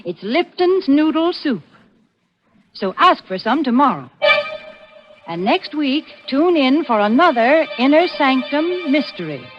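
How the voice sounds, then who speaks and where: A woman announcer delivering a scripted 1940s radio advertising pitch. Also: sing-song, a little slow, music in the background. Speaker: commercial announcer. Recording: dull vintage 1940s radio recording.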